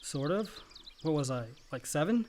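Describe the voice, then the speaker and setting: Man acting as lively, high-voiced, frank younger brother. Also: moderate pace, natural voice. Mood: warm, casual. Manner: frank, profane, casual. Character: frank, hot-headed young adult younger brother, Chip. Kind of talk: naturalistic radio drama acting.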